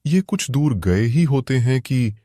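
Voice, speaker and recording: A man narrating a cartoon moral story in a steady, plain storytelling manner. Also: medium pitch, measured pace, no room sound, clean studio sound.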